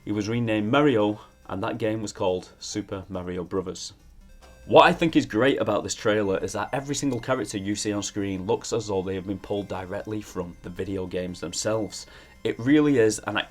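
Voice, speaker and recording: Guy doing a film review, lowish voice, measured, emphatic, bit of music behind. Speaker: video essay presenter, film reviewer. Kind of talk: scripted opinion commentary. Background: background music.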